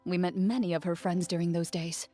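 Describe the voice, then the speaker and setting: An actress voicing a composed young woman duelist in a video game, measured, matter-of-fact and polite. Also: on the low side, level tone, mellow, close to an everyday voice. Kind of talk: scripted game dialogue. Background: game music.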